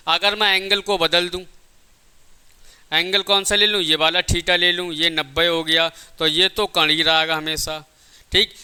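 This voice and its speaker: Guy teacher explaining step by step, really sharp crisp voice, keeps quizzing then answering himself. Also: sits high. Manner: didactic, repeatedly asks and answers own questions. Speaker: physics teacher. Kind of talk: classroom lesson, step-by-step explanation.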